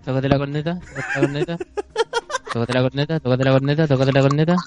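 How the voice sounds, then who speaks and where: A man's voice: medium pitch, fairly even intonation, fast talker, very noisy. A young man with a Chilean accent joking casually as co-host, repeating his slangy phrases. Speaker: co-host improvising stories and poems.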